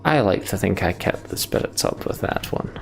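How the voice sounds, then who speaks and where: A man's voice: sits low, animated, moderate pace, vocal fry. A man reading story text aloud from a game and adding his own commentary as a let's player.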